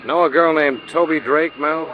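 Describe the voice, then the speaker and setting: An actor playing a tough, sardonic insurance investigator in a clipped 1950s radio noir style. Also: male voice, fairly high pitch, fairly even intonation, moderate pace, natural voice. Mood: businesslike. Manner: clipped, sardonic, hard-boiled. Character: tough, wry male insurance investigator, hard-boiled narrator. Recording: muffled, noisy old radio recording.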